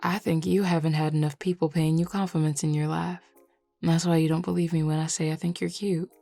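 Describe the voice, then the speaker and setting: Flirty male Southern werewolf bar patron played by a woman: low voice, playful drawl, dry close sound. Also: level tone, vocal fry, warm tone, dry recording, soft background music. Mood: sincere, flirty. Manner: drawling, playful, unhurried teasing. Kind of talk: scripted audio roleplay.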